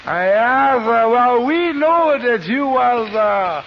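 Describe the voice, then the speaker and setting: An actor playing a slow, dim-witted errand runner with a hesitant drawl full of ums, in 1940s radio comedy. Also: male voice, very high-pitched, lively intonation, a little hiss or hum, heavily acted. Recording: lo-fi old radio broadcast.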